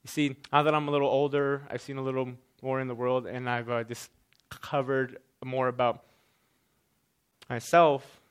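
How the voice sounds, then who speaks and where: A man's voice: mid-range voice, flat delivery, moderate pace, smooth. A man who stutters gives a personal talk to an audience, often repeating sounds and restarting his words. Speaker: speaker giving a stage talk.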